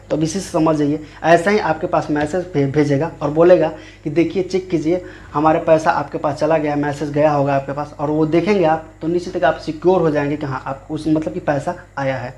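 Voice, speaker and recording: Male YouTube explainer, slightly high voice, informal delivery that often rephrases and repeats. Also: darkish tone. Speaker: YouTube channel host. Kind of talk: explanatory scam-awareness tutorial.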